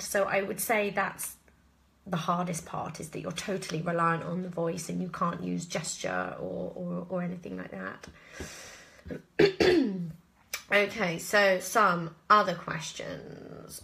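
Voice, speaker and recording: A woman's voice: lowish voice, a bit bright. A woman with an English accent, an actress casually answering audience questions in a live chat, thinking aloud and often hesitating. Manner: hesitant, thinking aloud, frequent throat-clearing.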